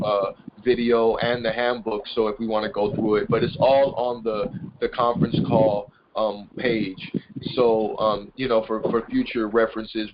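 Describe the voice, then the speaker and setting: A man hosting an informal group call, explaining things in a rambling way and often repeating his words. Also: on the low side. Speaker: conference call host, business and personal growth consultant. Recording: muffled conference call with loud noise, clicks.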